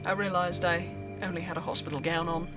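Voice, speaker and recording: A woman's voice: on the low side, level tone, a little fast. A woman reading a scripted horror story as narrator, in first person. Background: music.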